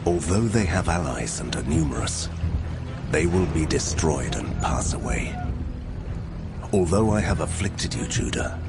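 A stern, menacing God pronounces judgment. The voice is very deep and moves slowly and solemnly. Music plays clearly behind this dramatized scripture reading. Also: male voice, heavily acted. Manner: grave, deliberate, menacing. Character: God, male, solemn, wrathful, commanding divine judge.

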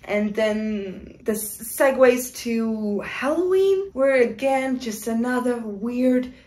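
A woman's voice: a little high, animated, slow pace, mellow. A woman in her twenties giving a casual, exasperated and sarcastic film review to camera in a room. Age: 20s. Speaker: video commentator. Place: small room indoors.

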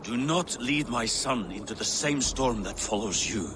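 A man's voice: mid-range voice, animated, a little slow, background noise, close to an everyday voice. A man playing a cautious, weary older Viking king and father in a video game, grave and disapproving. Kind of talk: dramatic video game acting.

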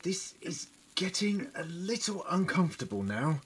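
Man acting, compassionate clever time-traveler, urgent, very lively pitch, audio drama, faint background noise. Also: normal speed. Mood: measured, wary.

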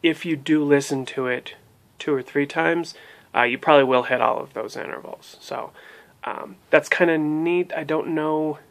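A man's voice: fairly high pitch, normal speed, vocal fry. A man in his thirties giving a casual spoken review of a language course to his webcam in a small room at home, hesitating often with ums and restarts. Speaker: language learning vlogger. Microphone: webcam at desk.

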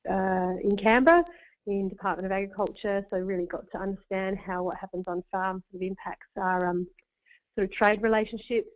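A woman, a vet, giving a webinar presentation in a relaxed way with many fillers. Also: mid-range voice, a little fast. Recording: dull dry webinar audio.

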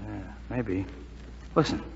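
An actor playing a wary American serviceman in a 1940s radio drama, talking colloquially and haltingly. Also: lowish voice, expressive, creaky, exaggerated voice. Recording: muffled, noisy, echoey 1940s radio recording.

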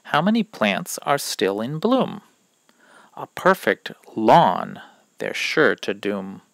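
A man reading rhyming verses aloud from a children's picture book in a deliberate, expressive way, pausing between phrases. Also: fairly low pitch, creaky, dry recording. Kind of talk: children's story read-aloud of rhymes.

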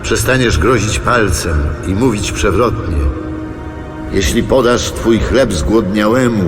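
An actor playing a solemn prophet gravely proclaiming divine promises in a scripture audio drama. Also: male voice, lowish voice, unhurried, natural voice. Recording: long reverberant hall, music behind.

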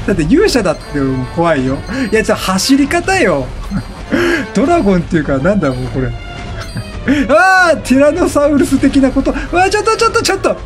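Man, high voice, swooping intonation, let's play commentary, exclamatory repeats, game music behind. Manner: exclamatory, repeats words rapidly in reaction. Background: video game music.

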